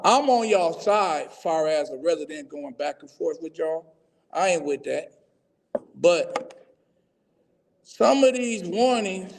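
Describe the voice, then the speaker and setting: A man's voice: high voice, gravelly, low-quality recording. A man speaking plainly and colloquially at a city council meeting. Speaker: public commenter. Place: council chamber.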